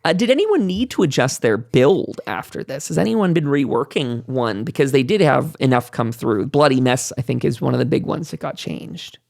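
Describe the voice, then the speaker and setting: A man's voice: medium pitch, animated, moderate pace, creaky, hardly any pauses, a little mellow, dry recording, clean studio sound. A man hosting a casual roundtable stream, rambling through notes and adding offhand asides as he reads aloud. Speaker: podcast host and panel moderator. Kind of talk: casual livestream roundtable, reading and commenting on notes.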